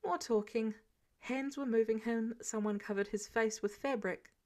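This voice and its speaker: A woman narrates fiction in a slightly high voice. She reads from a script as an audiobook narrator would, with expression, and she acts out the characters' dialogue as she goes. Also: moderate pace, a little mellow.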